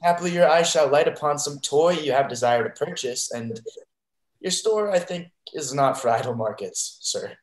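A young man playing a devoted, wary sea fighter, earnest and halting, in a Shakespeare reading over a video call. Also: a little high, animated, natural voice. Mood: wary, caring. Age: young adult. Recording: echoey bedroom video call.

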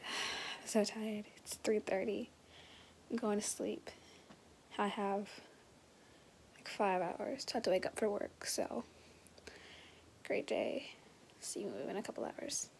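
A teenage girl chatting casually to viewers in a vlog, her voice a bit raspy, filmed on a phone held close in a small bedroom. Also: mid-range voice, fairly even intonation, slow pace, long pauses, a little mellow, a little hiss or hum. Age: late teens. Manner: chatty, rambling, addresses viewers as guys. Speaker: vlogger. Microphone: phone held close at arm's length.

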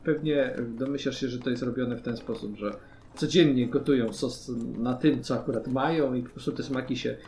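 A man's voice: mid-range voice, normal speed, a little mellow. Man game-mastering in a roomy space. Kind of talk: narrating a tabletop fantasy role-playing game. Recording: roomy, faint background noise.

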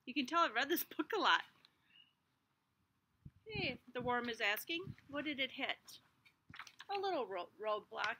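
Middle-aged woman teacher, storytime read-aloud, high and bright, unhurried, recorded outdoors on a handheld device. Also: slight background noise, rough recording. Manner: expressive storytime reading with small asides. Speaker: kindergarten teacher. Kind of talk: reading a picture book aloud to children. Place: outdoors in a backyard under trees. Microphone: device camera at arm's length.

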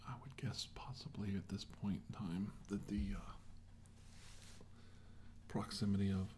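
Slow, low-voiced weary doctor, acted by a man. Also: flat delivery, dark tone, slight background noise, close to an everyday voice. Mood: grave, hesitant. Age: middle-aged. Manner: halting, brooding, often dropping to a whisper. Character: weary, shaken male doctor recounting a battle with aliens. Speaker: performer of ASMR roleplay. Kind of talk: slow ASMR roleplay monologue, soft and partly whispered.

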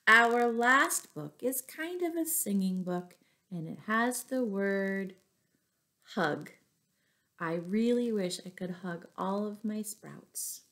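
A middle-aged woman reading a picture book aloud to young children, with deliberate, expressive phrasing and little asides to her listeners. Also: medium pitch. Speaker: children's storyteller, teacher. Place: small furnished room at home.

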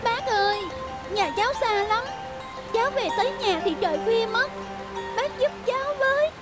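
A very high, young girl's cartoon voice, polite and imploring. Loud music and rain play underneath. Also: moderate pace. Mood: pleading. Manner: polite, pleading. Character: pleading polite young girl stranded in the rain.

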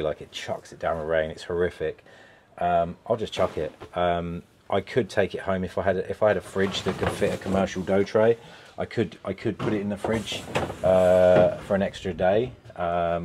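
A man's voice: deep voice, mellow, slight background noise. A British man in his thirties, a pizza van owner, chatting casually in a vlog from inside his food van, rambling with lots of ums and restarts. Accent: British English. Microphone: lapel mic, camera on a shelf.

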